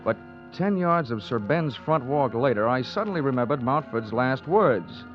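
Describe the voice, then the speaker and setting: A man's voice: mid-range voice, lively intonation, normal speed, close to an everyday voice. Male actor as tough, slangy private investigator, dry wisecracks, old radio drama, muffled vintage sound with music. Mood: wry narrating. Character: hard-boiled wisecracking male insurance investigator. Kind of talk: 1950s radio detective drama acting and narration.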